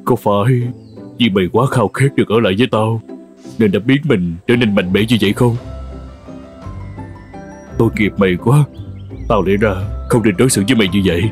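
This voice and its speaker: An actor playing a farmer who owns a horse in an animated fairy tale, theatrical, exclamatory and prone to stammering. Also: male voice, on the low side, fairly quick, pauses often, soft background music, lightly acted. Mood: moved, remorseful. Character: old-fashioned male farmer, horse's master, astonished then remorseful.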